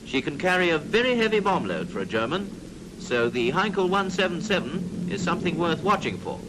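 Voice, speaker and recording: A man's voice: high-pitched, big pitch swings, fairly quick. A British man narrating a 1940s military training film in a clipped, instructive manner with drily jocular asides. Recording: noisy 1940s film soundtrack.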